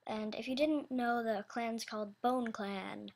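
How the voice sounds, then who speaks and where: A young woman with a high, youthful, slightly childish voice talks casually and off the cuff. She speaks slowly, rambling and hesitating as she searches for the right words. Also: steady tone, gravelly. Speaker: video creator announcing animation voice auditions. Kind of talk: casual unscripted chat.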